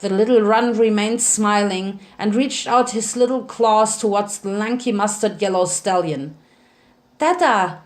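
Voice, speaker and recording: A woman's voice: mid-range voice, normal speed, few pauses. Woman narrating a dramatic story. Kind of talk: dramatic reading of fan fiction.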